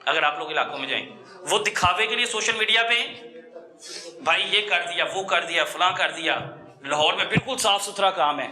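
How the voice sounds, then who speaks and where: A man's voice: high voice, fairly quick, bright, forceful tone. A man with a Lahore Pakistani accent reporting on the spot in a confrontational, scolding and insistent way, repeating himself. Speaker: TV reporter on a food hygiene raid. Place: small food workshop, on location. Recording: echoey room with busy people around.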